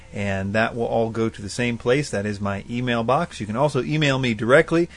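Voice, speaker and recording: A man hosts a faith-based call-in style radio program and works through listener questions in a relaxed teaching voice. He is earnest and thoughtful. He weighs his points as he goes and lays them out plainly. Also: medium pitch. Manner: earnest and thoughtful, spells out addresses slowly. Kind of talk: casual explanatory Bible teaching.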